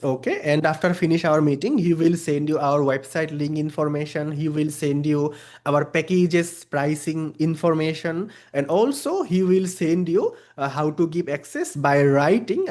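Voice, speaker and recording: A man with a somewhat high voice and a Bangladeshi accent, pitching a service over a video call. Bengali is his first language, and he speaks English hesitantly, with frequent pauses and fillers. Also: a little mellow. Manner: hesitant non-native English with many fillers. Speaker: freelance service provider. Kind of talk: client meeting on video call.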